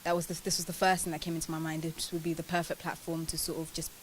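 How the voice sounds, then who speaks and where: Woman, twenties, from Ghana, lowish pitch, chatty interview guest, casual answers, slight background hiss. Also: flat delivery, fast talker. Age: 20s. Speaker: interview guest, pageant winner. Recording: clearly hissy, noisy sound.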